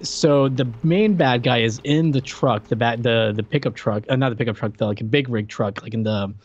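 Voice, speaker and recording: A man's voice: medium pitch, normal speed, lo-fi sound. A man co-hosting a podcast in casual chat, animatedly retelling movie scenes. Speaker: podcast co-host.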